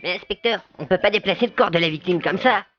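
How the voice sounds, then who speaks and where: A woman's voice: lowish voice, fast, fairly bright tone, muffled recording. A woman playing an obedient, easily puzzled junior police officer in a comedic audio drama, deferential and hesitant.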